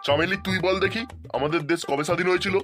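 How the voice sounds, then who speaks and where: A man's voice: sits high, very fast, loud music behind the voice, close to an everyday voice. A man voicing an exasperated older schoolteacher in a comedy cartoon, scolding sarcastically and repeating his phrases. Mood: sarcastic, fed up.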